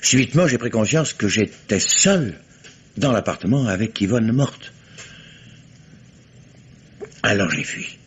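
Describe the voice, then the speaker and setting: Proud lawyer suspect, deep husky voice, formal and halting, muffled old radio broadcast. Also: male voice, lively intonation. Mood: grim recounting. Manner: formal, hesitant with many fillers, flares into indignation. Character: proud grieving male lawyer, indignant suspect. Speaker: lawyer suspect under questioning. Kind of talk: 1950s radio drama acting.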